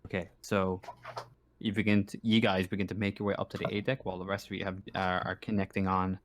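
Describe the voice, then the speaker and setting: A man speaking casually as a game master, narrating a tabletop game in a halting, hesitant way. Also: sits low, flat delivery.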